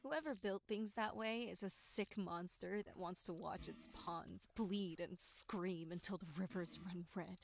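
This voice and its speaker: A woman voicing a tormented, despairing victim in a horror game monologue, with breathy delivery that slips into whispers. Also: fairly low pitch, measured pace, hardly any pauses, slight background noise. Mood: bitter anguish. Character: tormented, despairing young victim musing bleakly on existence. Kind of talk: dramatic first-person horror game monologue.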